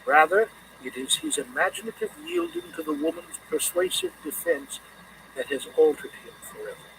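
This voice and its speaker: Higher-pitched, expressive male audiobook narrator. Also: moderate pace, distant mic, background noise. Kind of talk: scripted literary narration.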